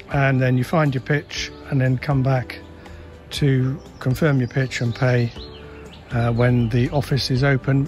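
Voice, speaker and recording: A man talks casually as he strolls, guiding viewers around a wooded campsite with easygoing fillers. His voice sits at a middling pitch, recorded outdoors on a handheld camera while he walks. Music plays clearly underneath. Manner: relaxed, chatty, guiding with frequent fillers. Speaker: campsite tour vlogger. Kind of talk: walking tour narration. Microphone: handheld camera, filming while walking.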